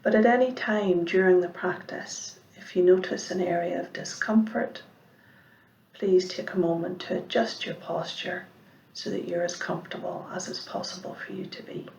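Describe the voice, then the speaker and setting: A woman giving guided meditation instruction as a mindfulness teacher, speaking in a measured, gentle way with pauses and soft, breathy phrase endings. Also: on the low side, slight background noise. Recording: roomy, echoing sound.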